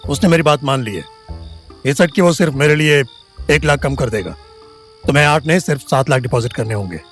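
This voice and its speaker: A man playing a smooth, pushy, self-important doctor in an audio drama, with an Indian accent. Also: mid-range voice, a little fast, soft background music, natural voice. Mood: sympathetic. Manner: persuasive, self-important, mixes Hindi with English. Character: smooth, pushy male doctor, outwardly kind.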